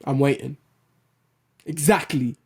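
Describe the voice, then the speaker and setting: A man with a loud voice hosting a film and TV show, giving an animated, opinionated rant with casual swearing. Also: medium pitch, slow, creaky, slightly rough. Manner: animated, blunt, swears casually, restarts sentences. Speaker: host of a film and TV news show. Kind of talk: opinionated solo commentary rant.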